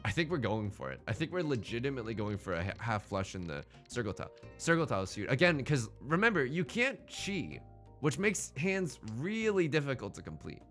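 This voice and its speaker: A man's voice: medium pitch, big pitch swings, a little fast, fairly bright tone, faint music behind. A man giving casual, chatty let's play commentary, thinking aloud in slangy terms as he goes.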